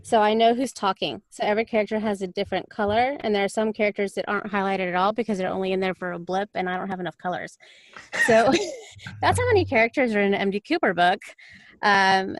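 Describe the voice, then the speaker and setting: A woman's voice: medium pitch, dry recording. A woman talking casually and chattily as an audiobook narrator on a panel.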